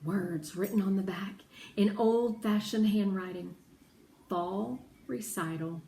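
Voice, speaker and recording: Slow-paced woman reading a children's book aloud. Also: mid-range voice, steady tone, mellow. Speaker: read-aloud narrator. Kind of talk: expressive children's audiobook narration. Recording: roomy echo, faint background noise.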